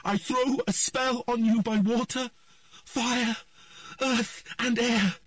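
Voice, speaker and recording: A female sorceress, near the end of her strength, calls out a spell in an urgent, commanding voice, put on by a male narrator. The voice is raised and husky. It sounds a little distant in a dry room. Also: very high-pitched, steady tone, slow, a bit bright, dry recording, pitched above the performer's own voice, exaggerated voice. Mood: desperate.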